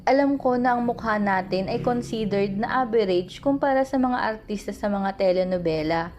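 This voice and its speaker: A woman's voice: medium pitch, normal speed, darkish tone, slight background noise, natural voice. A woman acting as a proud, probing and tender mother in a radio drama, with a Filipino accent. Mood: tender, earnest. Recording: music playing behind the voice.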